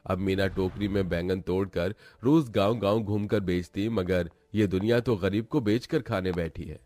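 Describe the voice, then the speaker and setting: A man's voice: low-pitched, moderate pace, darkish tone. A man narrating a scripted cartoon folk tale as storyteller.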